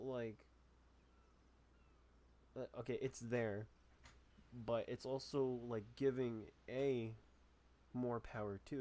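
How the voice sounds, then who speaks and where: A man's voice: medium pitch, dark tone. A man casually commentating as he plays a video game, rambling and restarting his sentences as he thinks aloud. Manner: rambling, trails off and restarts a lot. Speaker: gamer commentating a playthrough.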